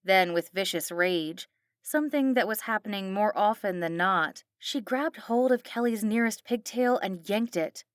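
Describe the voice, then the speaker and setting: A woman's voice: medium pitch, measured pace, dry recording, clean studio sound. A woman reading a horror novel as a dramatic scripted audiobook narration.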